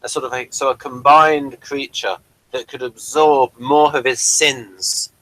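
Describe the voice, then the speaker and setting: A man hosting a casual review discussion, speaking in a halting, hesitant way. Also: medium pitch, fairly even intonation, pushed and bright, poor audio. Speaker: review show host.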